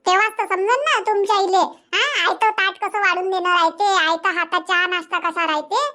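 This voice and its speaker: A woman's voice: extremely high pitch, fairly even intonation, brisk, bright, forceful tone. A woman voicing a stubborn, grumbling young village mother in a cartoon comedy, chattering emphatically with a Vidarbha Marathi accent.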